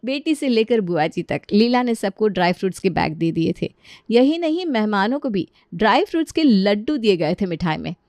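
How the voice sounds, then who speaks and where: A woman's voice: medium pitch, very animated, normal speed, a little mellow, dry recording. A woman reading a scripted story as narrator.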